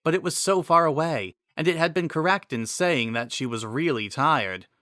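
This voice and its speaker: Bright-voiced man narrating dramatically. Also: on the high side, normal speed, no room sound, clean studio sound. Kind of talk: dramatic scripted story narration.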